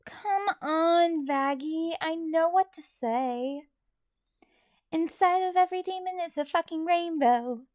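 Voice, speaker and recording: An earnest young cartoon demon princess, put on by a woman, pitched high and full of hope. Her delivery is emphatic and pleading, recorded close and dry. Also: takes it slowly, a little mellow, no room sound. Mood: earnest, hopeful. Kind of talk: adult cartoon voice impression.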